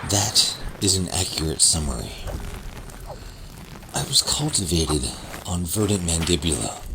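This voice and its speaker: A solemn deep plant heir. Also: male voice, noisy background. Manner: slow, formal and stately. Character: solemn sentient plant royalty, male heir. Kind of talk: comedy radio drama acting.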